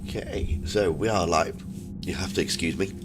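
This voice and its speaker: A man hosting a casual gaming live stream, his voice croaky from a cold, talking in a laid-back, halting way. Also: sits low, fairly even intonation, over music.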